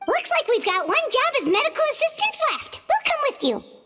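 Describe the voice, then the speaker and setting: A woman voicing a tiny, chatty, skeptical childlike companion sprite in a video game, expressive and exclamatory. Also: very high voice, fairly quick, exaggerated voice. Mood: curious, chatty. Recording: music behind the voice.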